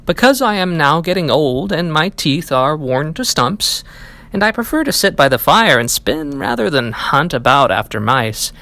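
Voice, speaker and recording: A man voicing a gloomy old cat in a storybook, plaintive, drawn-out and sorry for himself. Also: a little high, big pitch swings, pushed and bright, exaggerated voice. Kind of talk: storybook character reading.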